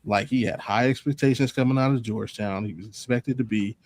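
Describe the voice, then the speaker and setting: A man's voice: mid-range voice, fairly quick, a little mellow. A man on a sports talk panel, casually reasoning through his opinions off the cuff in an analytical way.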